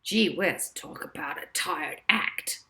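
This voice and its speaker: A woman's voice: lowish voice, expressive, slow, bright, forceful tone, exaggerated voice. A woman voicing a brash, treacly male showbiz agent in an audiobook, gushing and slangy. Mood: weary, candid. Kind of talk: audiobook character voice.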